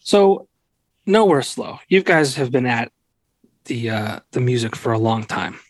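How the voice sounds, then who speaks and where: A man's voice: medium pitch, animated, unhurried, dry recording. A man in his late thirties hosting a podcast, joking and blunt, with casual swearing in loose chat. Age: 30s. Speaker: podcast host.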